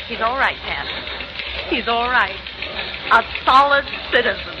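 An actress playing a composed, coy young woman who gently teases, in 1940s radio acting. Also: on the high side, lively intonation, a little slow. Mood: coy, flustered. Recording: noisy, muffled 1940s radio recording.

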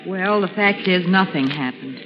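A woman's voice: fairly low pitch, moderate pace, lo-fi sound, a crowd in the background, lightly acted. An actress playing an anxious, refined female companion in 1940s radio drama, emotive and melodramatic. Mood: light, evasive. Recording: very noisy, echoey old radio recording.

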